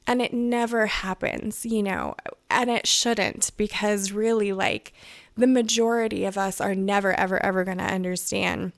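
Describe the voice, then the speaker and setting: A woman hosting a solo podcast, her voice raspy and hoarse from crying, talking in a chatty, rambling way. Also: mid-range voice, fairly even intonation, normal speed, no room sound, clean studio sound. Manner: chatty and rambling, many "like" and "you know". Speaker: podcast host. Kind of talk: casual solo podcast review.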